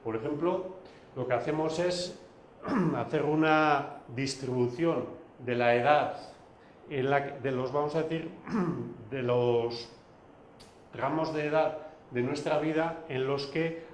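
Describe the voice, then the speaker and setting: A man whose first language is Basque, giving a recorded video lecture, pausing often with fillers and restarts. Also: a little high, reverberant, a little hiss or hum. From Basque Country. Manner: hesitant, many fillers and restarts.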